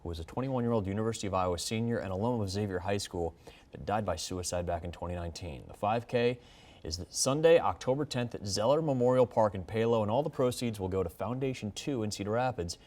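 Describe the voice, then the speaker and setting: Very fast-talking male news anchor. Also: on the low side, a little mellow. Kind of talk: scripted news reading.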